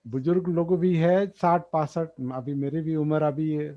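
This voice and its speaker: A man gives a dharma talk, thinking aloud, pausing often and repeating key words. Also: on the high side, steady tone. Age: 60s. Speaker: meditation teacher. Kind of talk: slow explanatory dharma talk. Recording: dull lo-fi recording.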